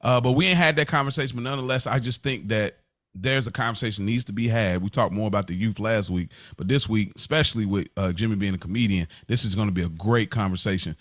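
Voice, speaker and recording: A man hosts a casual podcast in a lowish voice. His talk is slangy and animated, recorded very dry with little room sound. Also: fast talker, dry recording. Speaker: podcast host.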